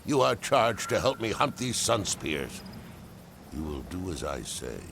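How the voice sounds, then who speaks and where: A deep-voiced, ruthless general barks orders in a gravelly, menacing way. His delivery is slow but swings widely in pitch, as a video game villain with noise behind him. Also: big pitch swings, full character voice. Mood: indignant. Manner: commanding, menacing. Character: ruthless, bullying male general hunting rebels.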